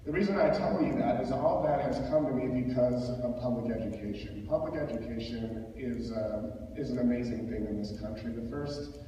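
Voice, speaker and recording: A middle-aged American man from rural Minnesota answers at a public forum, repeating words haltingly as he goes. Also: medium pitch, mellow, a little hiss or hum. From rural Minnesota, United States. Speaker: school board applicant. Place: large echoey room at public forum. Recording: echoey hall, far microphone, dull sound.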